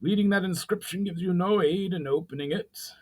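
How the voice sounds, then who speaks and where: Man acting sneering, commanding goblin chieftain, pitched up. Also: a little fast, a little mellow. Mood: challenging. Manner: sneering, commanding, heavily accented trade speech.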